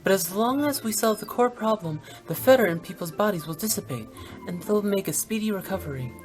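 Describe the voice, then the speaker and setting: A woman's voice: medium pitch, expressive, brisk, a little mellow, soft background music, natural voice. A woman voicing a confident young female funeral-parlor director in a video game, briefing the others in a self-assured way.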